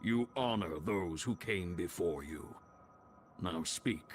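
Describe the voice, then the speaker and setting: A man's voice: low voice, expressive, takes it slowly, slight background noise. A man voicing a stern, proud ancient chieftain's spirit in a fantasy game, speaking in grave, formal oratory. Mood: solemn, approving. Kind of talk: scripted fantasy game voice acting.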